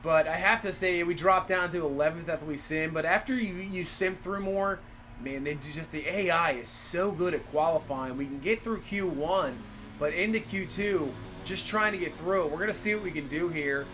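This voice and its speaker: A man's voice: a little high, normal speed, sharp tone, soft background music. A man with a cold, rambling through casual gameplay commentary and leaning on fillers like "obviously" and "for sure". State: has a cold. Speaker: gaming channel host.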